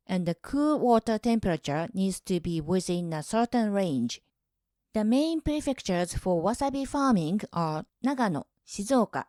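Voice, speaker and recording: A woman from Japan, hosting her own channel in calm, measured scripted narration. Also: mid-range voice, dry recording, clean studio sound. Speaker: host.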